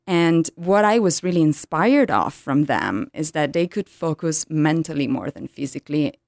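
A woman in her thirties from Italy with an Italian accent, a yoga teacher telling her story in an interview, pausing often to find words in English. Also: sits low, animated, darkish tone, no room sound, clean studio sound. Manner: hesitant, searching for English words with many ums.

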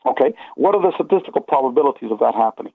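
Gravelly man on a phone-like line. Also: mid-range voice, lively intonation, racing, dry recording. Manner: earnest, emphatic, checks in with okay and you know. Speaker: interview guest recounting personal experiences. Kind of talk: casual, rambling first-person storytelling.

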